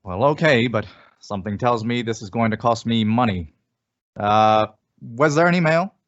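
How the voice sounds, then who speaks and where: An actor playing a flustered, suspicious but good-natured husband, stammering in old-time radio comedy style. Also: male voice, medium pitch, lively intonation, moderate pace, natural voice. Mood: wry, suspicious. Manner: stammering, bewildered. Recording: dull, distant lo-fi recording.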